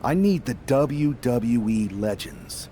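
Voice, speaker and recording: A man's voice: mid-range voice, expressive, slow, warm tone, a little hiss or hum. An actor voicing an earnest young male wrestler asking legends for help in a game cutscene. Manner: earnest, pleading.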